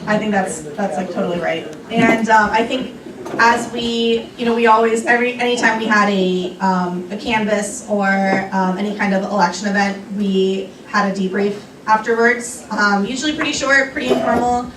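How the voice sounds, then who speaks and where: A young woman answers questions from a lunch crowd in a thoughtful way, filling her pauses with ums. She is picked up from far off in an echoey room. A faint hum of the room sits behind her. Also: medium pitch, steady tone. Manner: thoughtful, many ums and restarts. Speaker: guest speaker on a young activists' group. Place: restaurant meeting room. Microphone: room recording from a distance.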